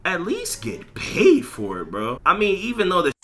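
A man's voice: high-pitched, huge pitch swings, moderate pace, bright, forceful tone. An American man streamer ranting casually in slang with heavy swearing, emphatic and repeating himself. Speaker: streamer and content creator. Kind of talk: casual livestream rant over gameplay.

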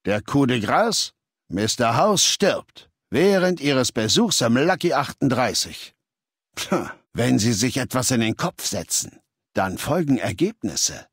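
Game villain: imperious legion warlord, deliberate, condescending, commanding, slightly rough-edged mid-pitched voice. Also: male voice, very animated, a bit bright, no echo, clean studio sound, heavily acted. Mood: grave, measured. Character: imperious, menacing warlord ruler of a slaver legion. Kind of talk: video game dialogue in German dub.

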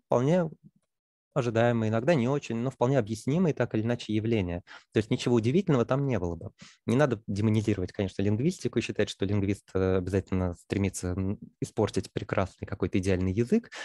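A man's voice: fairly low pitch, warm tone, no echo, studio quality. A man giving a lecture on language, talking through his ideas with many fillers and restarts. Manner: thinking aloud with frequent fillers and restarts.

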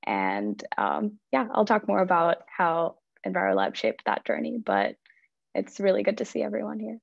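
A woman in her twenties, introducing herself on a video call in a friendly, measured way. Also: medium pitch, big pitch swings, gravelly, no room sound. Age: 20s. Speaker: panelist. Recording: dull, dry call audio.

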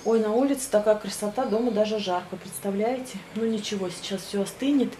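A woman in her forties, a little hoarse, chatting casually to her phone camera at home on her balcony. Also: mid-range voice, a little fast, mellow, a little hiss or hum. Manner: chatty, cooing to her pet. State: slightly hoarse. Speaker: vlogger. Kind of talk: casual vlog chat to camera. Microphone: handheld phone camera.

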